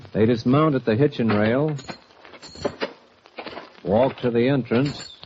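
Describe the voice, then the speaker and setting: A man with an American accent giving grand, dramatic scripted narration as an old-time radio announcer. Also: mid-range voice. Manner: grand, declamatory. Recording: muffled old radio recording with music.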